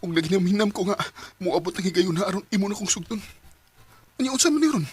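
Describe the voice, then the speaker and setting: An actor playing a young male student who courts a classmate, speaking hesitantly with many pauses. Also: sits high, animated, darkish tone, no echo. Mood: hurt. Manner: hesitant, halting, many ums and throat clears. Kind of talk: amateur radio drama acting.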